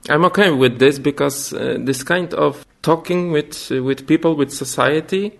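A young man with a Polish accent talks slowly through an interview, stopping often to hunt for the right English word. His voice has a gravelly, creaky edge. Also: on the high side, fairly bright tone. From Poland. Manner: halting, searching for words in English. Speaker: documentary filmmaker.

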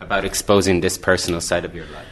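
A male radio host from Italy and Ireland leads a festival interview in a fairly low voice. He rephrases his guest's answers back to him and keeps nudging the talk along encouragingly. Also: little pitch movement, quick pace, fairly bright tone. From born in Italy, raised in Ireland.

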